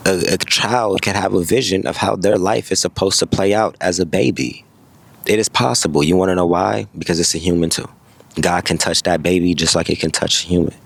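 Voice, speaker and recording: Very deep, gravelly young man. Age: 20s. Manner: fast, rambling, self-correcting. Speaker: vlogger. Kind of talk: motivational vlog monologue.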